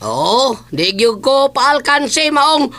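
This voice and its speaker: An actress playing a friendly, teasing neighbour in a radio drama. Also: female voice, high voice, sing-song, slow pace, fairly bright tone, no room sound, heavily acted.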